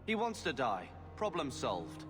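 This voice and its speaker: A man's voice: medium pitch, huge pitch swings, a little slow, long reverb tail, over music. An actor playing a brash, defensive male companion in a video game, speaking bluntly. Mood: blunt, dismissive. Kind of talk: dramatic video game acting.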